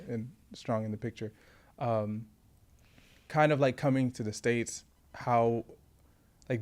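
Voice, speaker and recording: A man's voice: mid-range voice, a little mellow, smooth. A man hosting a podcast, asking questions in a laid-back, affirming way, using slang and thinking aloud with restarts. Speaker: podcast host. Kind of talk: casual interview chat.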